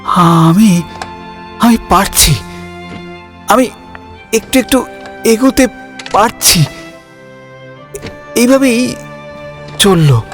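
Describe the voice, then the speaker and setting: A slow, wistful young man, emotive. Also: sits high, lively intonation, fairly bright tone, exaggerated voice. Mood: reflective, hopeful. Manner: halting, stammering, emotive delivery. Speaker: narrator and lead character. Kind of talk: dramatic Bengali audio story narration. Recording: music under the voice.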